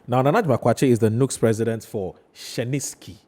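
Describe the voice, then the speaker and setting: A man with a Ghanaian accent hosting a studio interview, phrasing things haltingly and often repeating himself. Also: mid-range voice, mellow. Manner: hesitant phrasing, repeats questions back. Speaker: TV talk show host.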